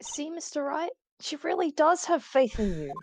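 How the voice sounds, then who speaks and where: A woman doing a lively, encouraging young assistant. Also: high-pitched, moderate pace, background noise. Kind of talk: live fan dub with casual chat.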